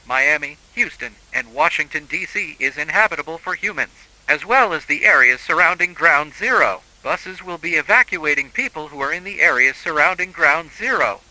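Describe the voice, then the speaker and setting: A man's voice: fairly high pitch, bright, forceful tone, rough recording. A man reading scripted emergency alerts as a broadcast announcer, in a formal, solemn manner.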